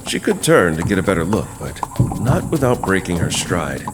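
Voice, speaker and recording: A man narrating a horror audio drama as host, in a measured, dramatic storytelling manner with pauses. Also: low voice, sing-song, heavy background noise.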